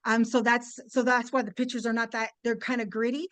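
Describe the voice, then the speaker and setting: A woman's voice: on the high side, little pitch movement, brisk, fairly bright tone, dry recording. Upbeat chatty woman, dry close sound. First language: Spanish. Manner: upbeat, chatty, lots of fillers and restarts, addresses "you guys". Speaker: guest speaker. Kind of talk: webinar presentation. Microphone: video call.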